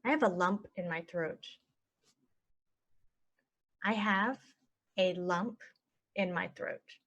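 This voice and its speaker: A woman teaching English phrases, saying each one and then repeating it slowly and clearly for learners. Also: fairly low pitch, a bit bright. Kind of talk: slow phrase-by-phrase language lesson.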